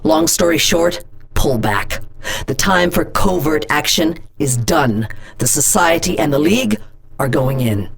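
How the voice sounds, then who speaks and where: Stern female agency director, low voice, gravelly, blunt commands, game voice lines. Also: big pitch swings, measured pace, full character voice. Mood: commanding. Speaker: game character.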